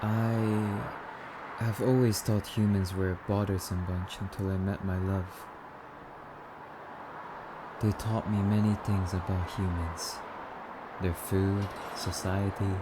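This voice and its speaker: A man's voice: fairly low pitch, fairly even intonation, slow pace, over music, low-quality recording. A man playing a courtly, wistful male vampire in an ASMR roleplay, speaking in a musing, slightly archaic, haughty yet polite manner. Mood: reflective, intrigued. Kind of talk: ASMR roleplay monologue addressed to listener.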